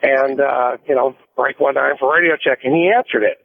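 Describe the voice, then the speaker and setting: Gravelly American male caller on tinny phone line. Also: medium pitch, a little fast, no echo. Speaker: radio show caller, truck driver. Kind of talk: casual rambling storytelling.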